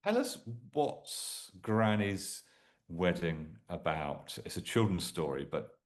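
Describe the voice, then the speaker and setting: A man's voice: sits low, big pitch swings, takes it slowly. A man hosting a podcast interview, asking questions and encouraging the guest with short interjections. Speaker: podcast host.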